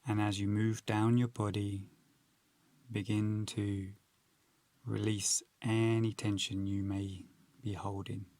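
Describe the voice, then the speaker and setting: A man leading a guided meditation in a calm, unhurried, instructional manner with long pauses. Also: on the low side, no room sound.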